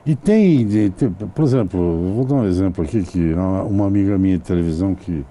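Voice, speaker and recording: A man's voice: deep voice, brisk, warm tone. A man hosting a television interview, asking long, rambling questions that wander through side remarks. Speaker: TV interviewer and host. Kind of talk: casual TV interview.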